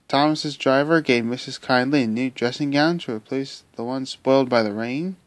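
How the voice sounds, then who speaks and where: A man narrating a fan audio drama in an expressive storybook manner. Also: medium pitch, normal speed, no room sound. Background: loud music.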